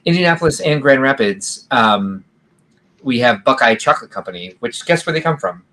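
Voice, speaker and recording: A man's voice: medium pitch, expressive, moderate pace, pushed and bright. Chatty man reading out viewer comments. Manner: rambling, reads viewer comments aloud, laughs often. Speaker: podcast co-host. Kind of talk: casual livestream chat.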